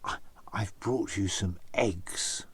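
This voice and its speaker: A man's voice: deep voice, takes it slowly, slightly rough, full character voice. A man voicing a cunning male spider trickster in a folk tale, with a wheedling, fawning, theatrical manner. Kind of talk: storyteller's character voice.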